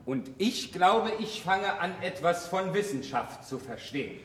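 An actor playing a cool, drily mocking young suitor in a German radio play, polite on the surface. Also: male voice, high-pitched, animated, a little slow. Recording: roomy, faintly noisy radio play recording.

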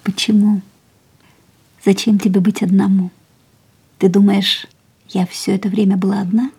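A woman's voice: mid-range voice, little pitch movement, moderate pace, creaky, warm tone, lightly acted. An actress playing a cool, fatalistic young woman in a radio drama, detached and languid with a teasing edge. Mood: fatalistic, intense. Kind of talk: radio play acting. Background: music.

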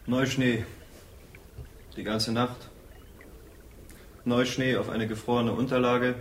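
An actor in a radio drama playing a dead soldier who bitterly recounts his end in terse, halting fragments. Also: mid-range voice, flat delivery, lightly acted. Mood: grim, matter-of-fact. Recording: roomy echo with faint noise.

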